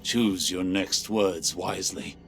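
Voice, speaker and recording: An actor playing a cool, superior mentor who reproves in a dry, clipped way in video game dialogue. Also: male voice, sits low, steady tone, slow pace, vocal fry, a bit bright, soft background music, exaggerated voice. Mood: cold warning. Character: stern, superior male mentor, cool and cutting.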